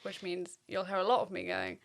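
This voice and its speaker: A woman co-hosting a podcast in casual chat, croaky from a cold, tired and laughing often at herself. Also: lowish voice, very animated, a little fast, a bit bright. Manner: laughs often, self-mocking. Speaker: podcast co-host.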